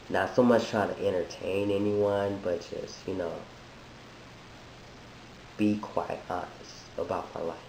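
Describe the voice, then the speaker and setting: Man, very low voice, slow, halting and thoughtful, sick, echoey room, faint hiss. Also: dark tone. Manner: halting, thoughtful, with many restarts. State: sick, voice deeper than usual. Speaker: vlogger sharing a transition update. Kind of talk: reflective personal monologue.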